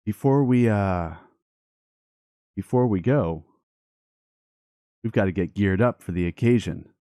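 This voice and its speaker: A man's voice: fairly low pitch, unhurried, no room sound, clean studio sound. A man giving casual, playful Let's Play commentary as the host of a gaming video. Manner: playful, excitable.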